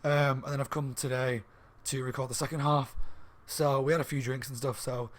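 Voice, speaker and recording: A slightly hoarse man reviewing a book in a rambling, casual chat at home, with lots of ums and restarts. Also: mid-range voice, flat delivery, normal speed. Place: room at home by a window on a main street.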